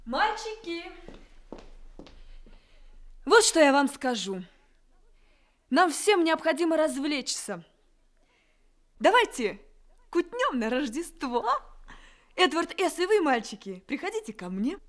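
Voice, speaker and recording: A woman's voice: sits high, big pitch swings, slow pace, pauses often, full character voice. A hearty carnival clown mother. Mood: merry. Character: warm cheerful woman clown, mother of three. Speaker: radio drama actress. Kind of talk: 1970s Soviet radio play acting.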